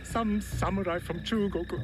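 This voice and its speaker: A man's voice: high-pitched, fairly even intonation, moderate pace, music in the background, lightly acted. A man playing a cowardly, self-pitying gambler husband on the run, jittery and pleading, in a video game cutscene. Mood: guilty, rueful.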